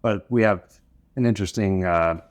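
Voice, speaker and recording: A man in his thirties from North Carolina, an instrument maker answering interview questions in an easygoing, thoughtful way with many pauses. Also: sits low.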